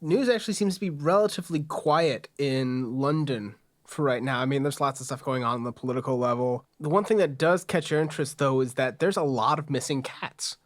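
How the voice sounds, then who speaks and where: A man's voice: medium pitch, fairly quick, few pauses, no room sound, studio quality. A man acting as game master, narrating a tabletop roleplaying game to the players. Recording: music bed under the voice.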